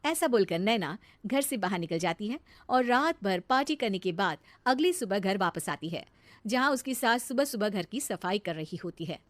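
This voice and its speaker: A woman's voice: medium pitch, lively intonation, normal speed, no room sound. A woman narrating a scripted cartoon moral story as its storyteller.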